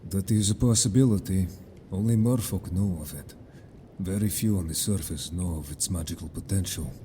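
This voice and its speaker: A man playing a stern, blunt merfolk companion in an audio drama, formal and measured in speech, suspicious of humans. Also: low voice, dark tone, a little hiss or hum, close to an everyday voice. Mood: grave, probing.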